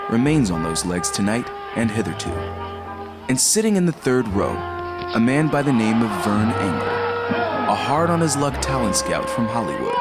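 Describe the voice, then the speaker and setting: A man giving measured, theatrical scripted narration for a radio drama anthology. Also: mid-range voice. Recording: loud music under narration.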